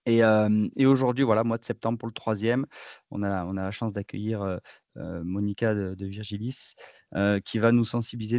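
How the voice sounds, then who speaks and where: Low-voiced man introducing a webinar. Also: darkish tone, dry recording. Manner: hesitant, filler-heavy introduction. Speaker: chapter co-lead and event host. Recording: dry, slightly dull lo-fi sound.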